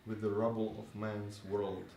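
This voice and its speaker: A man's voice: on the low side, level tone, unhurried, mellow. Man speaking at a roomy, distant live event. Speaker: reader and host of a literary reading event. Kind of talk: reading prose fiction aloud to an audience. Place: live reading event in a bar. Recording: roomy, distant live recording with faint noise.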